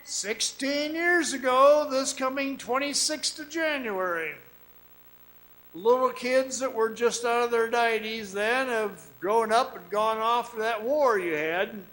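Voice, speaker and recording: A man playing a haunted, guarded miner in a radio drama, telling his ordeal in a folksy, halting way. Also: extremely high pitch, fairly bright tone, poor audio. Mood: haunted, brooding. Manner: folksy, deliberate, halting storytelling.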